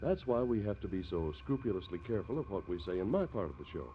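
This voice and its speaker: A man's voice: on the low side, big pitch swings, quick pace, a little hiss or hum. A man narrating as the announcer of a scripted 1950s radio show. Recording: thin, narrow-band old radio recording, music behind.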